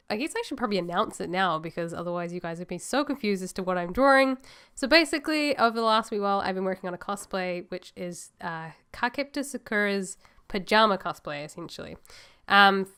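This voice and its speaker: A woman's voice: medium pitch, a bit bright, clean tone. Nasal New woman with a cold. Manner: chatty, rambling and upbeat. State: sore throat. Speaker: illustrator vlogger. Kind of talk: casual voice-over chat to viewers.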